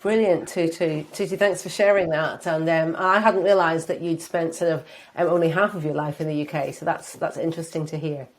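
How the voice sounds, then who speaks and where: A woman's voice: deep voice, steady tone, fairly quick, hardly any pauses. A woman hosting a company podcast, upbeat and encouraging as she introduces guests and asks questions. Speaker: podcast host. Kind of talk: hosted podcast interview.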